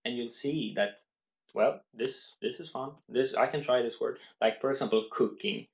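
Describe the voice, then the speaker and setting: Male vlogger at a middle pitch, earnest and step by step, recorded on muffled lo-fi audio. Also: normal speed, vocal fry, roomy sound. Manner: earnest, explains step by step with many restarts. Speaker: language-learning vlogger. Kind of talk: unscripted talk to camera.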